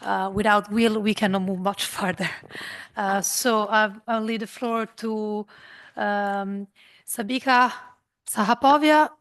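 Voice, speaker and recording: A woman's voice: mid-range voice, fairly even intonation, takes it slowly, a bit bright. A woman moderator thanking one speaker and formally introducing the next at a conference. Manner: formal, reading out a speaker's bio.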